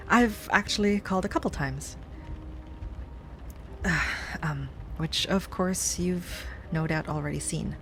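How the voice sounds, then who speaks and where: A woman playing an obsessive, sweetly pleading ex-girlfriend in an intimate audio roleplay, speaking softly and haltingly. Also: on the low side, slight background noise. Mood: nervous, resigned. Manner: soft, halting, wistful, with sighs and nervous little laughs. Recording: music under the voice.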